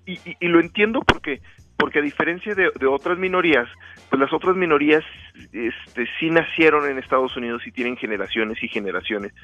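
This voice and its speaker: A man with a bright, forceful voice explains things casually as an interview guest, often speaking out imagined lines of dialogue. The recording is a bit dull and dry, with faint music behind him. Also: a little high, brisk, no room sound. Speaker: interview guest, author and researcher.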